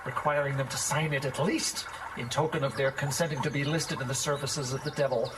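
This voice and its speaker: An actor playing a timid, superstitious schoolmaster in an audio drama, speaking in a formal, wordy, nervous way. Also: male voice, medium pitch, flat delivery, a little fast, noisy background, natural voice. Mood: grave, absorbed. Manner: bookish, formal, wordy, jittery.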